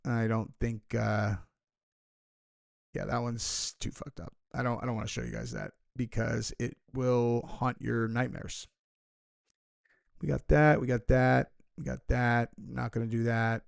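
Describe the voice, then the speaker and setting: A man's voice: mid-range voice, steady tone, a little slow, gravelly. A young man hosting a casual reaction video, rambling and sarcastic, with lots of "like" and "you know". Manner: rambling, sarcastic, heavy filler words. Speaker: commentary video host.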